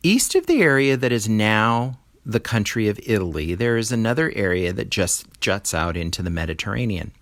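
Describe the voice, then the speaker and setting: Man, lowish voice, teacher's read-aloud, explanatory delivery, stressed key words, dry close sound. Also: big pitch swings, moderate pace, few pauses, fairly bright tone, no echo. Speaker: teacher reading a lesson aloud. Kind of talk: educational read-aloud narration.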